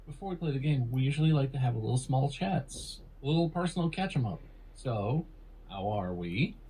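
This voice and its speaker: A man's voice: mid-range voice, expressive, a little fast, dark tone, slightly rough, low-quality recording. A man hosting a comedy podcast, playful and sarcastic, rambling through his intro. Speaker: podcast host and judge.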